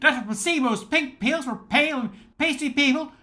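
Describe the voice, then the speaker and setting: A man's voice: extremely high pitch, moderate pace, pushed and bright. A man playing a nervous bachelor in his fifties, comically boasting of his manliness in a radio commercial. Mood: nervous, boastful.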